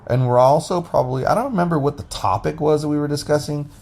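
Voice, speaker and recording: A man hosting a casual podcast with his wife, talking in a deep, bassy voice and rambling loosely with restarts and fillers. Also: quick pace, vocal fry, darkish tone. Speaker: podcast host. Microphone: phone app recording.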